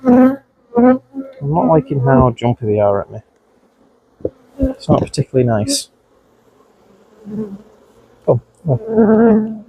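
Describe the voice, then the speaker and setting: A man's voice: a little high, wildly swooping pitch, slow, long pauses, warm tone, husky, low-quality recording. Chatty Scouse-Wigan beekeeper man. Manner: chatty, talks to his bees, voice jumps up when startled. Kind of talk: hands-on vlog narration. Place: outdoors at beehives in a bee suit. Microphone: mic clipped close, bees crawling on it.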